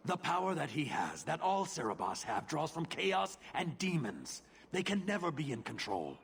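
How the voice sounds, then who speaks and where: An actor playing a stern, zealous warrior-enforcer in a fantasy video game, speaking formally and with command. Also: male voice, on the high side, big pitch swings, normal speed, gravelly, a bit bright, breathy edge, full character voice. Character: stern, zealous adult male warrior-enforcer of a rigid creed.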